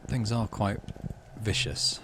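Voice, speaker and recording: A man's voice: fairly low pitch, slow pace, noisy background. A man hosting a playthrough, reading game text aloud with animation and muttering offhand commentary to himself. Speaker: gaming channel host.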